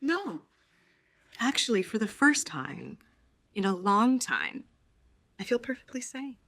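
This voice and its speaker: A woman's voice: fairly high pitch, big pitch swings, takes it slowly, fairly bright tone, dry recording, full character voice. A woman playing a cool, defiant, sardonic wealthy woman facing her ex in an audio drama, with a taunting manner.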